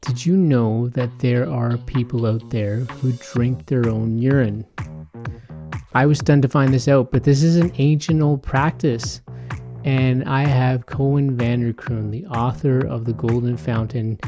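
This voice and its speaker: A man's voice: mid-range voice, fairly even intonation, a little slow, dark tone, faint music behind. A man hosting a podcast, speaking in an informal, conversational way. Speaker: podcast host. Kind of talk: podcast intro and casual interview chat.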